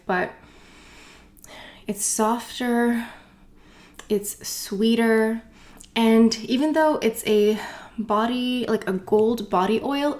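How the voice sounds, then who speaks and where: A woman's voice: fairly high pitch, darkish tone, a little hiss or hum. A woman chatting casually as she unboxes and reviews beauty products, rambling with asides and fillers. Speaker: beauty vlogger.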